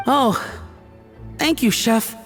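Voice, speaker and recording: A woman's voice: on the high side, very slow, fairly bright tone. A woman voicing a timid, apologetic young boy helping in a kitchen in a video game, hesitant and stammering. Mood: meek. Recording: game dialogue over background music.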